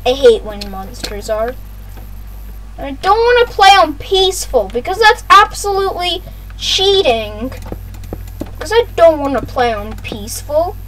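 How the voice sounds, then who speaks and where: A high-pitched gaming host talks slowly through casual gameplay commentary. Phrases often get repeated and aimed straight at viewers, over some faint background noise. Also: a bit bright, low-quality recording.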